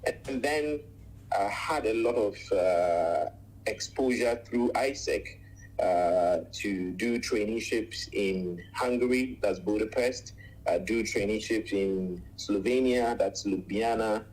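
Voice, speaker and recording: Ghanaian-born man, 40s, low husky voice, unhurried radio guest who pauses a lot. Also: darkish tone, low-quality recording. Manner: measured, frequent "uh" pauses, lists things at length. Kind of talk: radio interview, recounting life story.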